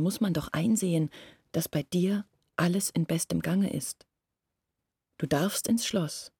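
An earnest village woman in a radio play, baring family secrets. Her voice is on the low side and husky, very close and dry. She speaks deliberately, sometimes slipping almost into a whisper. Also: mellow, no echo, heavily acted. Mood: urgent, reproachful.

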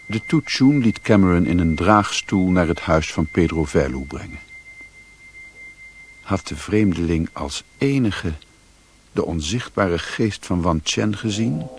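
An actor as a grave storyteller narrating a radio play in a deliberate, literary manner. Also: male voice, deep voice, animated, a little hiss or hum. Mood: mysterious, vivid. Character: grave storyteller recounting a mysterious journey.

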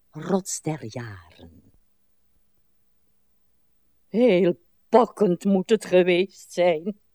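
An actress playing a weary, self-pitying, sharp-tongued old woman in a radio play, theatrical and sardonic. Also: lowish voice, dry recording, full character voice. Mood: musing. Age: older.

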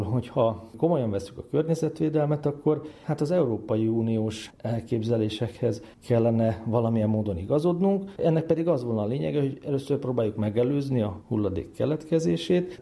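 A man's voice: medium pitch, vocal fry, mellow. A man with a Hungarian accent explaining things step by step as an opinionated interviewed expert. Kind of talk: spontaneous explanatory interview talk.

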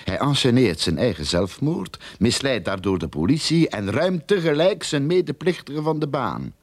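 An actor playing a cocky, sardonic private detective in a radio drama, with a Flemish accent and a probing, theatrical delivery. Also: male voice, mid-range voice, very animated, moderate pace, dry recording. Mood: dramatic, smug. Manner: probing, theatrical, self-assured explaining. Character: cocky, sardonic male private detective who theorizes boldly.

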